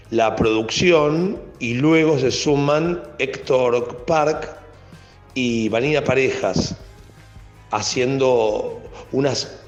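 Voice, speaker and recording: An Argentine radio producer, a man, recounts his memories at a very slow pace. The recording is roomy and somewhat muffled, with faint music behind him. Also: medium pitch. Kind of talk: recollection in an interview.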